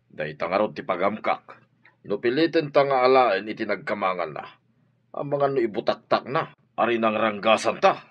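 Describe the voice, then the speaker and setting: A man's voice: lowish voice, lively intonation, moderate pace, dull audio. A man playing a wary, argumentative, grumbling husband in an audio drama. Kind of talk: Ilocano audio drama acting.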